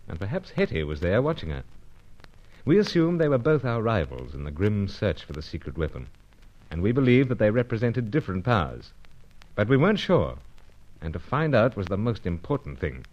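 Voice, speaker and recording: An actor playing a suave, gentlemanly secret agent in an old-time radio adventure serial. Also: male voice, lowish voice, big pitch swings, fairly quick, dull audio. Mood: dramatic narrating. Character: suave, self-assured male British secret agent narrating his adventure.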